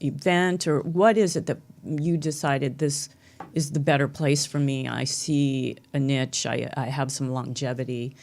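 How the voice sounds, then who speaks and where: Woman, talk show host, gravelly, rambling questions, casual. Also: a bass voice, fairly even intonation, unhurried, a little mellow. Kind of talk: casual interview chat.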